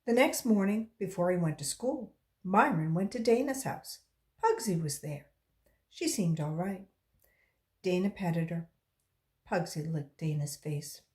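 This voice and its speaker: A woman reading a children's story aloud in an expressive, deliberate storytelling way. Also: on the low side. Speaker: volunteer storybook reader.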